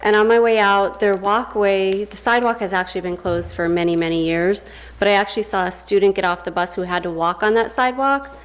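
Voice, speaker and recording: Woman with a mid-pitched voice, asking questions. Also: fairly even intonation, fairly quick, hardly any pauses. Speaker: council member. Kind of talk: spontaneous questioning at a council meeting. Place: council meeting room.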